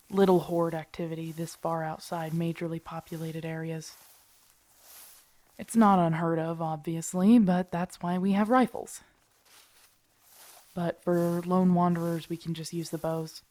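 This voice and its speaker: A woman's voice: fairly low pitch, warm tone. A woman acting in an audio roleplay as a grumpy, protective zombie apocalypse survivor, blunt and sardonic, talking intimately to her companion. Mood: brisk, matter-of-fact.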